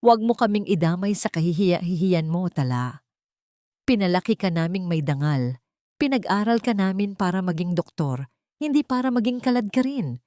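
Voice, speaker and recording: A woman's voice: lowish voice, expressive, normal speed, a little mellow, no echo, clean studio sound, natural voice. A woman playing a stern, proud older mother who scolds angrily in an audio drama. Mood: angry, disappointed.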